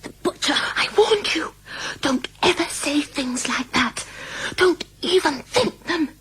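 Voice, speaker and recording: An actress playing a furtive, gossiping servant, speaking in hushed whispery tones in a radio drama. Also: female voice, sits high, lively intonation, takes it slowly, soft background music, heavily acted, low-quality recording. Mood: hushed, conspiratorial.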